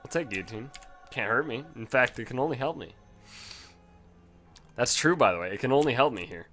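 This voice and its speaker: A man casually commentating his own gameplay, swearing freely and bursting into frustrated yells. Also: medium pitch, animated, fairly quick, faint music behind. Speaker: gaming commentator. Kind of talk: casual let's play commentary.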